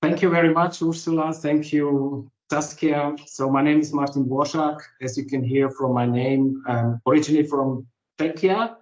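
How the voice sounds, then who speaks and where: A man's voice: fairly high pitch, fairly even intonation, moderate pace. Swiss-raised man on a panel call, dull audio, echoey room. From Switzerland. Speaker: panelist, change consultant. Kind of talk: self-introduction on a panel call. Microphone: video call.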